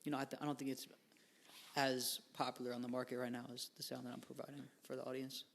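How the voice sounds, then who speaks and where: A man's voice: medium pitch, level tone, fast, vocal fry, fairly bright tone. A young man in his twenties, a musician guest on a podcast, talking casually in a rambling way with lots of fillers and slang. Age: 20s. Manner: rambling, filler-heavy, laid-back slang.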